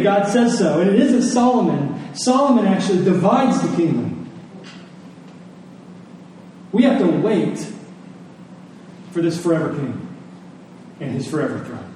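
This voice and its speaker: A man's voice: sits high, mellow. A man preaching a church sermon as a pastor, emphatic and repeating phrases for weight, with conversational asides and rhetorical questions. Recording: echoey room, distant mic, faint noise.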